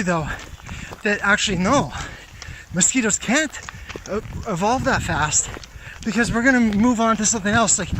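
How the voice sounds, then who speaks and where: Slow, halting high-pitched man, noisy recording. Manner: halting, pondering, throat-clearing. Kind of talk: rambling off-the-cuff monologue. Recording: noisy outdoor recording with clicks.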